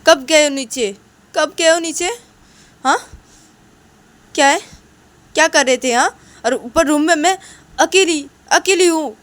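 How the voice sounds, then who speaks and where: A woman's voice: medium pitch, steady tone, moderate pace, lightly acted. A woman voicing an irritable pregnant woman doctor who scolds insistently and repeats herself in an amateur audio story. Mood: angry, complaining.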